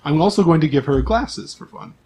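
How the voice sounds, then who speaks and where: A man's voice: fairly high pitch, lively intonation, a little fast, darkish tone, slightly rough. A man acting as game master in a casual tabletop session, prompting players with questions and repeating their answers back. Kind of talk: casual tabletop role-play session chat.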